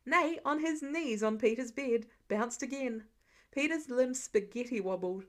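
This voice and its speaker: Female podfic narrator, higher-pitched voice, scripted story reading. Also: a little slow. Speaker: podfic reader.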